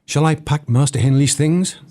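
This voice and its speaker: An actor playing a subservient manservant in a period radio drama, with an obedient, deferential manner. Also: male voice, on the high side, normal speed, warm tone, natural voice.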